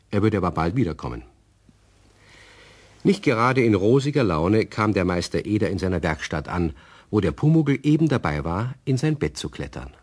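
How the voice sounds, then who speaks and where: Narrator for a kids radio story, deepish raspy voice, really expressive melody. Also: male voice. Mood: matter-of-fact. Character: male storyteller of a children's radio play.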